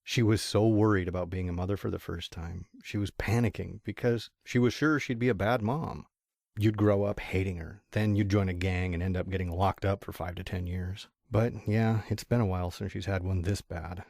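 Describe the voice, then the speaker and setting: A weary, fond pony dad played by a man in an audio drama. His voice sits on the low side and he talks in a calm, reassuring, confiding way. The sound is close and dry. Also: normal speed, creaky, no room sound. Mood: confiding, concerned. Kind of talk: amateur audio drama acting.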